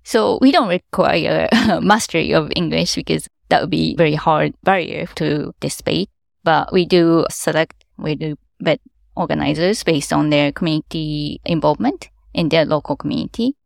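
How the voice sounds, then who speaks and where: A woman with a low, heavily gravelly voice speaks careful non-native English; her first language is Japanese. She explains things earnestly and laughs lightly along the way. The sound is dry and close, with no room around it. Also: moderate pace, no room sound. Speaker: event organizer. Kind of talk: interview answers.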